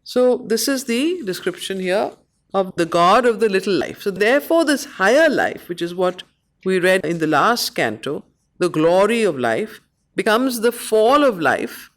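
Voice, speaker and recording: A woman with an Indian accent, facilitating a study circle, reading and explaining verse in short, measured phrases. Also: medium pitch, sing-song. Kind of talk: reading poetry aloud and explaining it to a study group.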